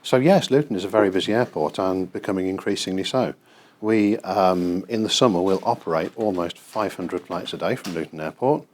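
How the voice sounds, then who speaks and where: A middle-aged British man gives an explanatory guided tour in a measured, precise, emphatic way. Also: deep voice, a little mellow. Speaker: air traffic control manager and tour guide. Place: large airport control tower room, indoors. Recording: clear ambient noise of a working control room. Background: control room ambience.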